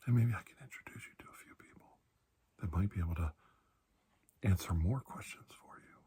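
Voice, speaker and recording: A man speaking in a soft, breathy ASMR roleplay as a calm, kindly doctor, gentle and reassuring with unhurried pauses. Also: low voice, a little hiss or hum. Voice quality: breathy, hushed. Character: calm, kindly male doctor soothing a frightened patient. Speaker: ASMR performer playing a doctor.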